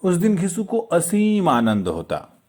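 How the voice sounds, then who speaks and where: Slow, expressive male storyteller. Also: sits high. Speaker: audiobook narrator, storyteller. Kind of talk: expressive literary story narration.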